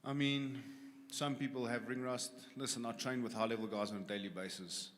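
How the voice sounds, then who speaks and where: A man's voice: mid-range voice, steady tone, fairly quick, fairly bright tone, slight background noise. Confident man in his forties, echoey hall. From South Africa. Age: 40s. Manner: confident, plain-spoken. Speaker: fighter at a press conference. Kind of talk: press conference answers. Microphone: handheld microphone. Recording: long hall reverb.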